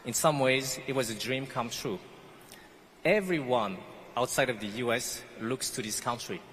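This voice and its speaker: A man's voice: mid-range voice, moderate pace, fairly bright tone. Man, not a native english speaker, earnest speech, long hall echo, faint room noise. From French Guiana. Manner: earnest storytelling. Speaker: commencement speaker. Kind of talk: prepared speech. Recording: echoey hall, faint ambience.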